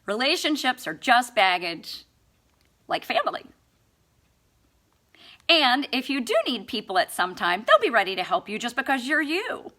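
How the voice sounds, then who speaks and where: A woman's voice: fairly high pitch, sing-song, moderate pace, long pauses, pushed and bright. A woman reading a book aloud expressively, with a sarcastic, mock-earnest and theatrical delivery. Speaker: amateur audiobook reader.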